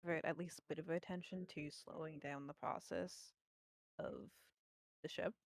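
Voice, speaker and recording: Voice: mid-range voice, fairly even intonation, moderate pace, gravelly. A player in a tabletop roleplaying game, casually talking through plans with the group.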